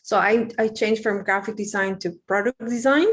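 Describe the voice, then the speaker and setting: A woman's voice: mid-range voice, moderate pace, a bit bright. Peruvian woman chatting candidly. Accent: Peruvian Spanish. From Peru. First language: Spanish. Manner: candid, rambling with fillers and restarts. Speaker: guest. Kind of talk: casual interview.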